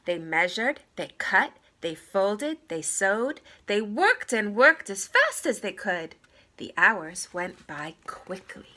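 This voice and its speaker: A woman narrating a children's story aloud in an expressive, theatrical way. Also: mid-range voice, takes it slowly. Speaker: storybook reader.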